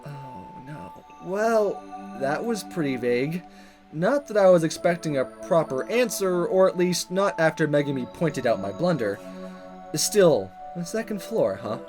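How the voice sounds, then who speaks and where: A man's voice: a little high, lively intonation, unhurried, a little mellow. A man voicing an awkward but cocky teenage schoolboy prankster in a playful, exaggerated visual novel read-aloud, over music. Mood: flustered. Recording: music playing under the voice.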